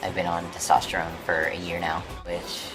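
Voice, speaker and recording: A young man chatting casually to his camera at home, keeping his voice hushed and half-whispered, with music playing behind. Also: low-pitched, completely flat delivery, moderate pace, rough recording. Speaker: vlogger. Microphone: camera mic on a shaky setup.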